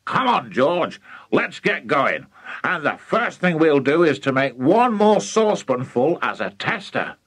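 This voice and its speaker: A man voicing an excitable, scheming, overbearing father in a children's story, gushing and emphatic. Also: a little high, a little slow, gravelly, few pauses, breathy edge, no room sound, pitched above the performer's own voice, full character voice. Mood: impatient, eager.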